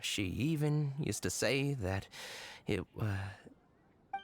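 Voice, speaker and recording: An actor playing a sheepish, sentimental husband in a video game, hesitant and meek. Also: male voice, lowish voice, expressive, very slow, slight background noise, heavily acted. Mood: wistful.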